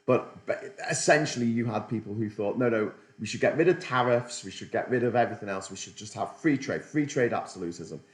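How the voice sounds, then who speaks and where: A man's voice: fairly low pitch, expressive, brisk, few pauses, echoey room. A middle-aged man hosting a political livestream, rambling sardonically and reading out chat comments. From Britain.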